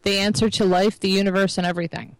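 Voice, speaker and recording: A woman in her forties chatting casually, thinking out loud and explaining her views. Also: mid-range voice, flat delivery, fast, dry recording, rough recording. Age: 40s. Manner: musing, explanatory. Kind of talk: casual chat.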